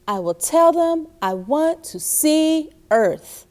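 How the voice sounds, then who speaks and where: A woman's voice: high-pitched, lively intonation, slow pace, a little mellow, pitched above the performer's own voice. A woman voicing a dreamy, eager little girl in a storybook, earnest and full of wonder.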